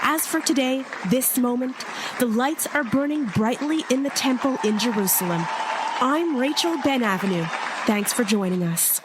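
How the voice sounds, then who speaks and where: Over a noisy crowd, a composed correspondent played by a woman reports live. Her voice is fairly high, formal and measured, in a radio drama. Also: lively intonation, lightly acted. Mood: solemn reporting. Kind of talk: live news commentary in radio reenactment. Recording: noisy crowd ambience behind.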